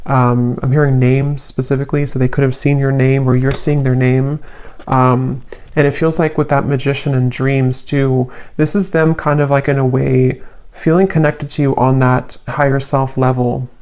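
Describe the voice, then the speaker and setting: A woman giving a casual tarot reading as host, musing aloud in a halting, tentative way. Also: extremely low pitch, little pitch movement, darkish tone. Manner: halting, musing, hedging delivery. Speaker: tarot reader, channel host.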